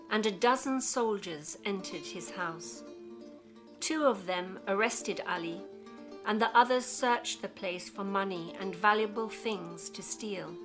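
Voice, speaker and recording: Woman narrating over prominent music. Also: mid-range voice. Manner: measured, serious. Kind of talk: scripted radio play narration.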